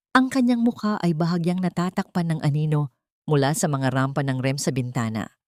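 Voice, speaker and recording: A woman with a slightly low voice reads a dramatic story as an audio drama narrator. Her delivery is solemn and deliberate, and the recording is close and very dry. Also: mellow, no room sound.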